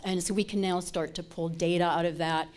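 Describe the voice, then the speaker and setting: A woman's voice: lowish voice, steady tone, brisk, a little mellow. A woman CEO giving a business presentation in a conference room, chatty with asides to the audience. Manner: chatty, self-interrupting asides. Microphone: lapel mic.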